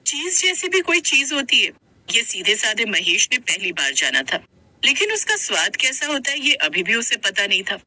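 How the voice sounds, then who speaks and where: A woman's voice: a little high, very animated, moderate pace, bright, forceful tone. A woman narrator reading a scripted moral story in Hindi.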